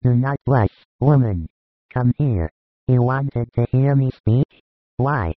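A man playing a gentle, grave religious teacher in a scripted audiobook drama, speaking in a measured, consoling way. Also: medium pitch, no room sound, lightly acted. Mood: gentle, calm. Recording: dry, narrow phone-like sound.

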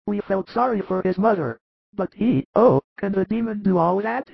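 A man voicing excited, amazed male disciples who tell their story eagerly, with a gravelly edge. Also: sits high, moderate pace, no room sound, voice pushed up, exaggerated voice. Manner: eager, wondering, breathless telling. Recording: muffled dry recording.

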